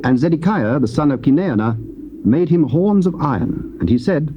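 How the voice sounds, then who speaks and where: A man narrates a Bible story formally, with lively, varied intonation at medium pitch. The sound is a dull old tape with clear hiss. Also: normal speed. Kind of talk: scripted dramatic Bible narration. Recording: muffled, noisy old cassette.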